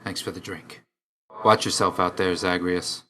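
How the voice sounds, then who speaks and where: An actor playing an aloof, weary young god of death in a video game, speaking in a restrained, clipped and solemn way. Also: male voice, low-pitched, fairly even intonation, a little slow, sharp tone. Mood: reserved, grudging.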